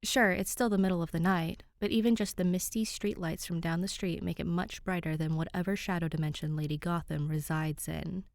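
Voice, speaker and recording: A woman's voice: on the low side, fairly even intonation, fairly quick, hardly any pauses, no room sound, studio quality. A woman reading a story aloud as a scripted fiction narrator. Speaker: podfic narrator.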